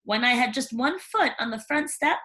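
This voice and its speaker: High, animated woman reading a storybook. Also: normal speed. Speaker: storybook reader. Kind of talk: expressive children's read-aloud narration.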